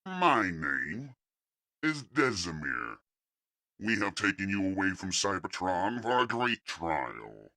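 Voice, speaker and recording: A man voicing a cold, lofty alien machine overlord in an audio comic, measured, pompous and commanding. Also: sits low, very animated, pushed and bright, heavily acted. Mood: grandiose, calm. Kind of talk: audio comic dub villain acting.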